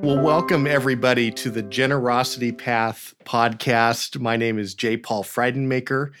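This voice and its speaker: A man's voice: mid-range voice, steady tone, moderate pace, a bit bright, faint music behind. A man hosting a podcast interview over a video call, talking in an upbeat, enthusiastic way. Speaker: podcast host. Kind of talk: conversational interview.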